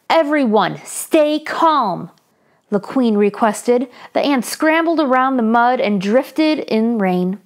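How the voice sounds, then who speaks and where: Female storyteller, high voice, very expressive swings in pitch, children's read-aloud narration. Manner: animated, deliberate storytelling delivery. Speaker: children's story reader, channel host.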